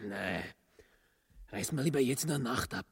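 A man's voice: medium pitch, lively intonation, moderate pace, slightly rough. An actor with an Austrian accent playing a blunt, irritable, scornful henchman in a grotesque comic radio drama. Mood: conspiratorial. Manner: blunt, exasperated. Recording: long hall-like reverb.

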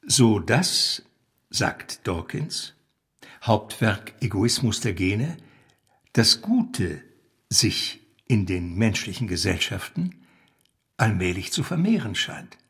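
A man reading literary prose as a scripted narrator, in a measured, essayistic manner. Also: fairly low pitch, sing-song, a bit bright, breathy edge.

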